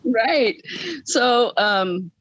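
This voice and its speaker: A woman's voice: on the high side, lively intonation, drawn-out words, fairly bright tone, highs cut off. A woman in her fifties telling a personal story conversationally as a podcast guest, stressing points by repeating phrases. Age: 50s.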